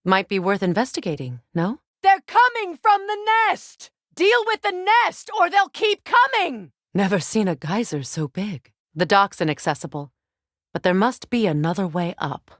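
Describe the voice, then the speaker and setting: An actress voicing a stern, wise warrior goddess in a video game, speaking with measured, formal diction. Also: female voice, mid-range voice, sing-song, a bit bright, dry recording, studio quality. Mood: urgent, commanding. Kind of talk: dramatic video game voice acting.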